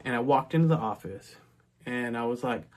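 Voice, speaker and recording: A man's voice: mid-range voice, lively intonation, brisk, darkish tone. A middle-aged man from North Texas, casually telling a personal story in a chatty way, with frequent restarts and asides.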